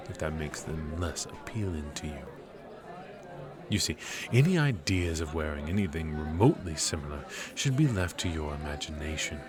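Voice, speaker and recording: A man playing a cold, strict royal magic professor in an ASMR roleplay, speaking in a measured, clipped, lecturing way. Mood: disdainful, stern. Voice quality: low and cool, measured.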